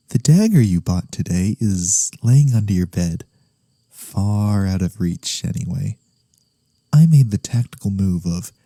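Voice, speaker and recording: A man playing a teasing, theatrical but harmless incubus demon in a soft-spoken ASMR roleplay, breathy and drifting into whispers. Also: sits low, no room sound, full character voice. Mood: teasing, sly.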